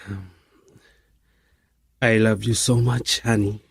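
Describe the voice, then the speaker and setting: A man's voice: lowish voice, little pitch movement, slow, creaky, husky, heavily acted. A young man playing a devoted, tender boyfriend in a radio drama.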